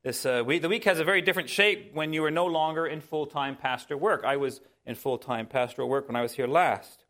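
Man, pastor, conversational sermon, folksy, musing, direct address, fairly high pitch. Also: a little fast, hardly any pauses, a bit bright. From Canada. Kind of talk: sermon, conversational with personal anecdotes.

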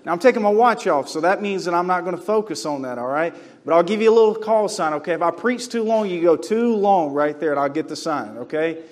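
A man from North Carolina preaches as a guest in a church. His voice sits high, and his talk is folksy and full of jokes. When a story peaks he gets loud and emphatic. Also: animated, fast, hardly any pauses. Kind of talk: sermon. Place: church sanctuary.

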